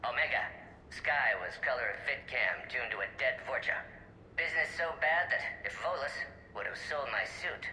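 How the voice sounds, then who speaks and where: Alien playing terse noir detective. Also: male voice, mid-range voice, expressive, moderate pace, pushed and bright. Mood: brooding, hushed. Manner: clipped, terse, noir storytelling. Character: male alien scientist narrating as hard-boiled detective. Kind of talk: hard-boiled detective narration in a video game. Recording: echoey recording with faint noise.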